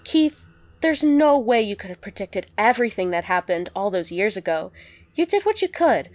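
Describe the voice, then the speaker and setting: A woman's voice: medium pitch, sing-song, moderate pace, close to an everyday voice. A woman playing an encouraging, frank young adventurer in an audio drama. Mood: reassuring. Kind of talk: radio drama acting.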